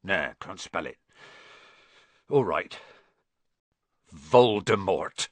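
A man voicing a gruff, kindhearted, hot-tempered giant with a folksy dialect and halting speech in an audiobook story. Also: deep voice, expressive, no room sound, exaggerated voice. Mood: uneasy, grave.

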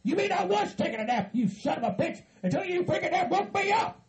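Furious, high-pitched Southern hillbilly. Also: male voice, big pitch swings, brisk, creaky, exaggerated voice. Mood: irritated, aggrieved. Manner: hot-tempered, shouting, profane. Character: furious hillbilly man, foul-mouthed, wronged, ranting.

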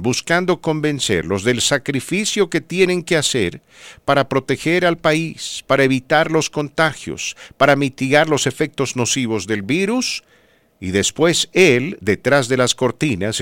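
A man's voice: medium pitch, fairly bright tone, dry recording. A man hosting a talk show, giving conversational news commentary in an emphatic, rhetorical manner. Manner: emphatic, rhetorical, asks listeners tag questions. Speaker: radio podcast host.

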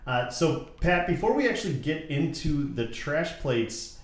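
A man's voice: on the high side, very animated, a little slow, recorded from a distance. An American man hosting a casual podcast conversation, heard off-mic in a very echoey room. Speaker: podcast host.

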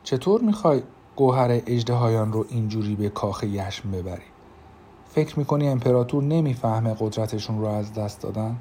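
A man playing a soft-spoken scheming prince. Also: on the low side, lively intonation, slight background noise, lightly acted. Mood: sarcastic. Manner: quiet, sarcastic, pleading.

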